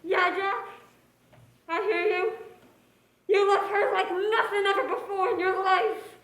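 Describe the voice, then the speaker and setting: A bitter, lovelorn detective's secretary, played by a woman in a noir stage drama. Her voice is extremely high and her delivery is emotional and accusing. It rings with the echo of a stage. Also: steady tone, measured pace, a bit bright. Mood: bitter, wounded. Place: indoor stage, roomy echo. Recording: echoey stage recording of a school play.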